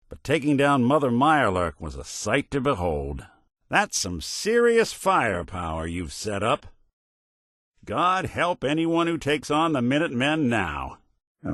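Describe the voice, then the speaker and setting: Low-voiced, wry American noir detective. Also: male voice, fairly bright tone, dry recording, studio quality, exaggerated voice. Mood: impressed, wry, then reassuring, earnest. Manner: wry, streetwise, plain-spoken. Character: world-weary synthetic detective man, gruff noir gumshoe with a heart. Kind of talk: video game companion dialogue, hardboiled noir acting.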